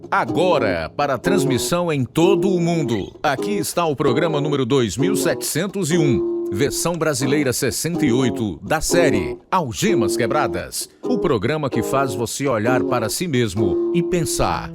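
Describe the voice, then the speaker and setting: A Brazilian male narrator with a medium-pitched voice introduces a radio drama. His delivery is scripted, formal and solemn, set over clear guitar music. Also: very animated, normal speed.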